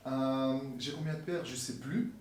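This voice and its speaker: A man chatting casually in an interview as a musician guest, relaxed and mixing in English slang, recorded in a sneaker store. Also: mid-range voice, a little slow, slight background noise. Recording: echoey store from far off.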